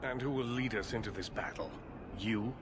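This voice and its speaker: A man's voice: on the low side, normal speed, noisy background, natural voice. An actor playing a proud, weary male mage leader who speaks with dry, cutting sarcasm. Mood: sardonic.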